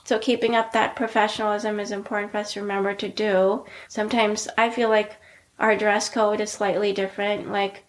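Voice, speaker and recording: Woman, middle-aged, soft-spoken, narrow pitch, casual chat, filler words. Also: brisk. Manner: soft-spoken, many "like" fillers, steady even delivery. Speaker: podcast co-host, pediatrician.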